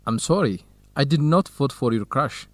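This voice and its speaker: An actor playing a modest, easygoing male university researcher in an educational radio drama, polite, low-key and frank. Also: lively intonation, normal speed, no room sound, close to an everyday voice.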